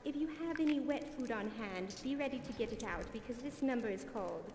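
A woman's voice: fairly high pitch, expressive, fast talker, noisy background, close to an everyday voice. A woman voicing a glamorous female cat cabaret diva, gushing with theatrical endearments between songs. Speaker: variety show performer. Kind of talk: cabaret song and stage patter. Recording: echoey hall with music behind.

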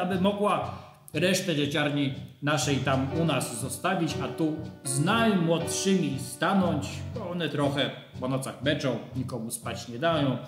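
Guy playing a pushy wheedling neighbour, talky, radio play, echoey room. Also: fairly high pitch. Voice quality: chatty, wheedling. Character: pushy fawning male neighbour, bureaucrat. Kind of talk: radio drama acting. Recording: roomy echo with music behind.

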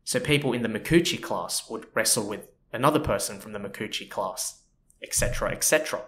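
A man hosting a history podcast, narrating in a relaxed, chatty way with frequent asides to the listener. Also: fairly low pitch, brisk, a little hiss or hum. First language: not Japanese. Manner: relaxed and chatty, with frequent "you know" and "so yeah". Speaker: podcast host.

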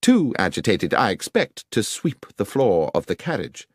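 A man voicing a brisk, incisive male detective in a dramatised audiobook, precise and emphatic, with a coolly sardonic edge. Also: lowish voice, wildly swooping pitch, breathy edge, no room sound, studio quality, full character voice. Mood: probing.

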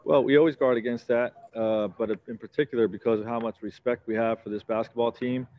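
A man in his forties, a head coach giving measured postgame answers at a press conference, full of "you know" and "um" fillers. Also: mid-range voice, steady tone, dull audio. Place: press conference backdrop, indoors.

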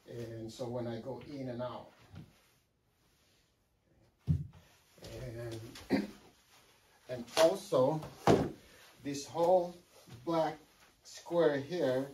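A middle-aged man giving a casual walkthrough of his home-built project, halting with many fillers and restarts. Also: medium pitch, dark tone, a little hiss or hum, low-quality recording. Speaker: DIY builder. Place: home room with sim cockpit, indoors.

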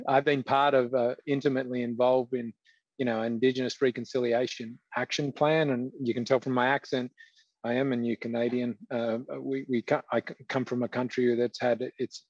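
A middle-aged man speaks on a video call in a reflective, halting way, correcting himself as he goes. His pitch stays flat. The sound is dry and a bit muffled. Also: no room sound. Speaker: panelist. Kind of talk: video-call panel discussion.

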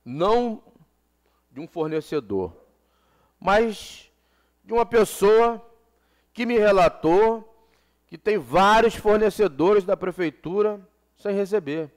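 A man with a notably high voice speaks at a council chamber desk microphone. He is a city councilman in his forties from Brazil. He delivers an impassioned floor speech and presses his points by repeating them. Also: expressive, takes it slowly, long pauses. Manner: impassioned, repeats phrases for emphasis. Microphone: desk gooseneck microphone.

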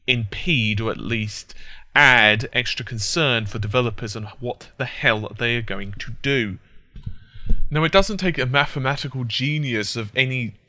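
A man's voice: mid-range voice, bright, forceful tone, no echo. A man hosting a gaming tech news channel, explaining hardware in a rambling, self-correcting chat, a little congested from hay fever. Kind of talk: casual tech commentary over gameplay.

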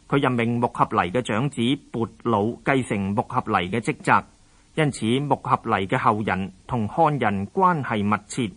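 Mid-pitched male history narrator. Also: a bit bright, slightly rough. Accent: Hong Kong Cantonese.

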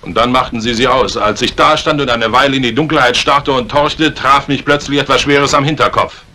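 A man's voice: mid-range voice, fairly even intonation, brisk, bright, forceful tone, lightly acted. An actor playing a polite, defensive butler protesting his innocence in a 1950s German radio crime drama. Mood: earnest, defensive. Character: deferential male butler, earnest suspect protesting innocence. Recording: old radio play recording, faint hiss.

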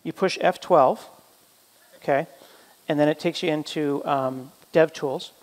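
Higher-voiced man hosting with reverb. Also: measured pace. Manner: playful, teasing, upbeat. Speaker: event host. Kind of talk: casual hosted conversation.

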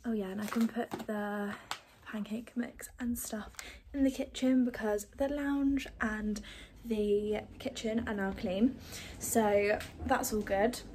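A woman in her twenties chatting casually through her evening routine at home, rambling with lots of ums and quick asides. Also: medium pitch, darkish tone, a little hiss or hum. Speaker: vlogger. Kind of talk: casual chat. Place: small flat, indoors, lounge, kitchen and bathroom. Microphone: phone held at arm's length.

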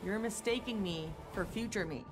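A woman's voice: medium pitch, moderate pace, over music, close to an everyday voice. A woman playing a tough, sardonic young soldier in a video game, with blunt, dry, combative delivery.

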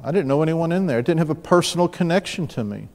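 A middle-aged man from the New Orleans area, preaching at a church pulpit. His voice sits a little high for a man, and he tells stories in a loose, conversational way with frequent restarts. The sound carries a long reverb from the sanctuary. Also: animated, quick pace. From New Orleans area, Louisiana. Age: 50s. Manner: storytelling, conversational, with restarts and asides to the congregation. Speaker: pastor. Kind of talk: sermon. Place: church sanctuary, large room. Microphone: pulpit mic, mid-distance camera.

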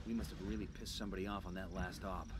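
A tough special forces commander, acted by a man in a video game, terse and gruff. His voice is on the low side and brisk, under loud outdoor game noise. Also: steady tone, natural voice. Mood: grim, focused. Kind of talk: video game military drama.

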